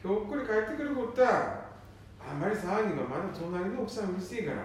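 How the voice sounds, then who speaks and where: Very high-voiced man playing a gruff son. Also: animated, fast talker. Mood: flustered. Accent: Nanbu dialect, northeastern Japan. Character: middle-aged eldest son, gruff, embarrassed by his father. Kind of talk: amateur radio drama acting. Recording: very echoey, distant, faint noise.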